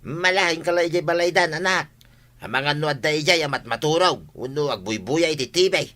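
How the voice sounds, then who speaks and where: A man's voice: fairly high pitch, lively intonation, brisk, sharp tone. A man with an Ilocano accent acting an exasperated, grumbling village godfather in a radio comedy drama.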